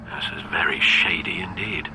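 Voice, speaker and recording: An actor playing a loyal, cautious butler and advisor in a video game, formal, measured and polite. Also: male voice, rumbling low, sharp tone, soft background music, exaggerated voice, rough recording. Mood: concerned, advising. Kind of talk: dramatic game voice acting.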